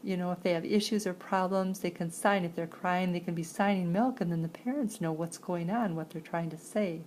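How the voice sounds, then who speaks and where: A woman with an American accent and a creaky voice, interpreting a signed personal story aloud in halting phrases with pauses. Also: lowish voice, a little mellow. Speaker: sign language interpreter voicing a signer.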